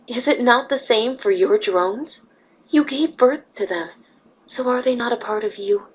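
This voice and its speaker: A woman playing a calm, regal pony princess in a fan audio drama, speaking patiently and in measured phrases. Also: a little high, husky, poor audio. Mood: calm, probing. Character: calm, regal, gentle pony princess, female, peace-seeking.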